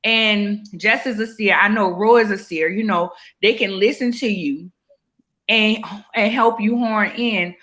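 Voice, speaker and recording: A woman in her forties hosting a casual chat show, animated and laughing often. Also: mid-range voice, measured pace. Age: 40s. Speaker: podcast host.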